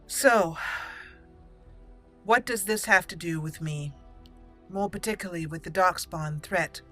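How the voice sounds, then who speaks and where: A woman hosting gameplay and improvising in character as an elf mage, speaking in thoughtful, halting, slightly formal phrases. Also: lowish voice, fairly bright tone, soft background music. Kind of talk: improvised in-character roleplay commentary.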